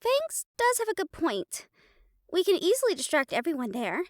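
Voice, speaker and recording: A woman's voice: very high-pitched, wildly swooping pitch, normal speed, no echo, studio quality, heavily acted. A woman playing a perky, girlish, well-meaning but scatterbrained young royal in a fantasy audio roleplay. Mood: upbeat, assured. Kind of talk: dramatic audio roleplay acting.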